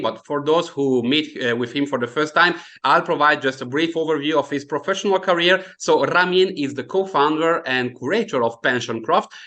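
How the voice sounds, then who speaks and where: A man's voice: a little high, bright, forceful tone. Italian-accented man hosting a webinar. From Italy. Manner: hesitant, with frequent fillers. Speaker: webinar host. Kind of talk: hosting an online finance seminar. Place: indoor home room, video call.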